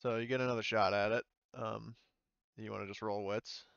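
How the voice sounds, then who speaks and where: A man's voice: lowish voice, steady tone, moderate pace, gravelly, a bit bright, lo-fi sound, slight background noise. A man speaking informally as game master, chatting through a tabletop session in a loose, hesitant way. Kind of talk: casual tabletop game chat.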